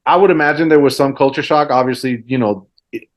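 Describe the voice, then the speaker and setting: A man's voice: medium pitch, fast. A man in his forties from Mexico, Spanish his first language, chatting casually as a guest and rambling with many fillers. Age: 40s. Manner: rambling, lots of fillers like "you know". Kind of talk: casual conversation.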